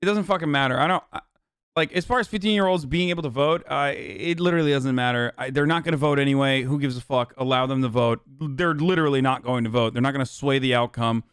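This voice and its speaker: A man streaming live reaction commentary, exasperated, argumentative and quick to swear. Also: mid-range voice, a bit bright, clear, resonant tone, no room sound, clean studio sound. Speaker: streamer host.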